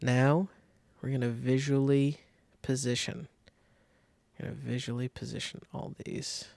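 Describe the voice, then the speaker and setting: A man giving a casual step-by-step software tutorial, thinking aloud informally as he demonstrates. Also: mid-range voice, level tone, measured pace, vocal fry, no room sound. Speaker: design tutorial instructor.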